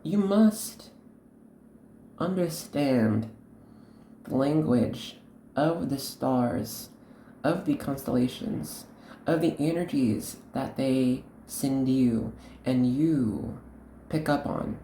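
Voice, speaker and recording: A woman hosting an unscripted tarot reading, speaking to the viewer in an earnest, instructive way, in short halting phrases. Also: rumbling low, dark tone, roomy sound, a little hiss or hum. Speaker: host, tarot card reader.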